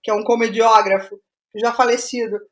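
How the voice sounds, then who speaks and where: A woman with a Brazilian accent and a fairly high voice talks casually as an interview guest. She thinks aloud, often repeating a phrase before carrying on. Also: fairly even intonation, moderate pace. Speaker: guest playwright.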